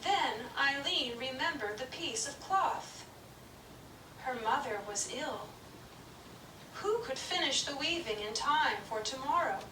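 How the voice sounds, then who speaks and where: A woman storyteller narrating a puppet show story in a gentle, measured reading. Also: high voice, expressive. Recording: distant, roomy, noisy room.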